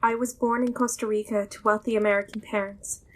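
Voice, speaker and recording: A woman's voice: mid-range voice, little pitch movement, brisk, lightly acted, rough recording. A woman playing a wronged wife in an audio drama, speaking with composed sincerity. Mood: solemn, sincere. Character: Beryl, wronged wife telling her story.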